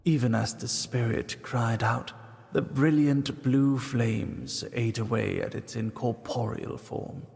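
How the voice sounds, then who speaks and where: A man's voice: medium pitch, steady tone, slow, vocal fry, a little mellow. A man giving solemn, dramatic scripted narration of a fantasy story.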